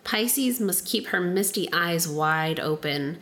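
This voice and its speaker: A woman's voice: lively intonation, slow pace, fairly bright tone. A woman co-hosting a casual podcast who reads aloud from a book with a cold, stumbling over words and giggling. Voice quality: deep voice from a cold. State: has a cold. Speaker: podcast co-host.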